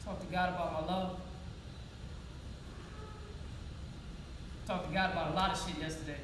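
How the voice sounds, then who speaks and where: An actress playing a wounded, frank, streetwise homeless young woman in a plainspoken, profane stage monologue with dramatic pauses. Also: on the low side, flat delivery, close to an everyday voice. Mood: weary, wry. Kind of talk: theatrical monologue in a one-act stage play. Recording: echoey hall, far-off mic, background noise.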